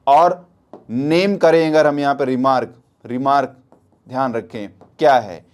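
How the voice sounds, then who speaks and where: Male teacher, slightly high voice. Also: a little mellow. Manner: didactic, repeats points with frequent check questions. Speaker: science teacher for exam preparation. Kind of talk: spoken classroom lesson.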